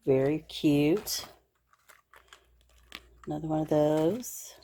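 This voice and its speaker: A middle-aged woman with a low, slightly scratchy voice, chattering cheerfully about her craft supplies. She gushes and fills the gaps with "um"s. Faint noise sits behind her. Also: slow pace, mellow. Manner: chatty and gushing, with frequent fillers. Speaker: craft channel host. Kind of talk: casual crafting show-and-tell.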